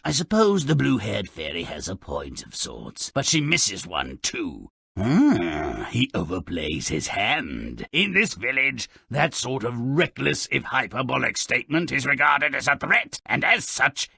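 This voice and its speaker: An actor playing Grimm, a cranky, sardonic gremlin-like dwarf who narrates fairy tales mockingly in a video game, with a gravelly, raspy voice and theatrical delivery. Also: male voice, medium pitch, sing-song, measured pace, dry recording, clean studio sound, heavily acted. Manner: sneering, mock-dramatic, swooping from mutters to shouts.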